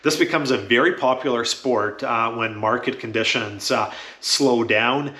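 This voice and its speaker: Bright-voiced man in an echoey room. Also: mid-range voice, measured pace. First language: Croatian. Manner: steady and matter-of-fact, frequent "uh" fillers. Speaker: real estate agent, show host. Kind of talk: casual talk to camera.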